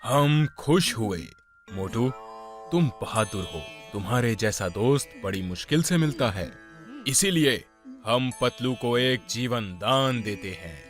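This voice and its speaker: A man's voice: medium pitch, expressive, slow, faint music behind. A man voicing an imperious male god of death in a cartoon, with a grand, declamatory manner. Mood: magnanimous. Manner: grand, declamatory, addresses others as a lofty elder. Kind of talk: theatrical cartoon acting.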